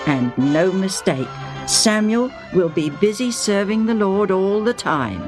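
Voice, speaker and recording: Devout father, played by a woman, solemn, earnest, low-ish voice, faint music. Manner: solemn, earnest, repeats "and no mistake". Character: weary devout father, middle-aged man.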